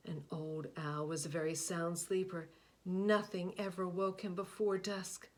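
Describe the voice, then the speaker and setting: A woman reading a picture book aloud, expressive, often dropping to a hushed whisper. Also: lowish voice. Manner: expressive, slow, hushed asides. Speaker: storybook reader.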